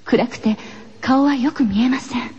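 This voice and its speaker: Woman as ominous horror-story narrator, lowered voice. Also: unhurried, a little mellow, slight background noise. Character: female narrator recounting an eerie ghost tale. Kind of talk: scripted horror radio narration. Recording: dull lo-fi radio recording with music.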